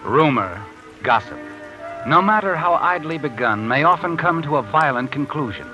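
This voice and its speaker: An actor playing a mysterious, all-knowing narrator who walks by night, in 1950s radio drama style over music. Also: male voice, on the high side, animated, moderate pace, muffled recording, lightly acted. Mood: brooding. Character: mysterious male night-walking narrator spirit. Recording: old radio broadcast with music.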